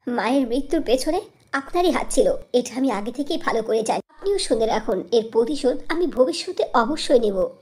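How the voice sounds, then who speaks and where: A woman's voice: fairly high pitch, lively intonation, fairly quick, a little mellow, breathy edge. A woman voicing an earnest, defiant young village girl in a cartoon fairy tale, with emotional delivery.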